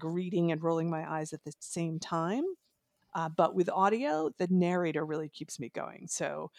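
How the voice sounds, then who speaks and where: Low-voiced chatty woman, close dry sound. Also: vocal fry, a little mellow, dry recording. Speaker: audiobook reviewer, podcast guest. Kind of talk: casual, chatty book discussion.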